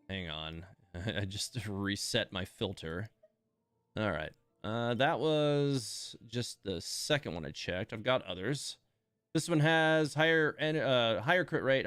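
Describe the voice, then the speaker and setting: Man, lowish pitch, clean smooth tone, dry sound, casual game streamer, musing self-talk. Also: fairly bright tone, no room sound. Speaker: live streamer playing and reviewing a video game. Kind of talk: casual live game stream commentary, thinking out loud.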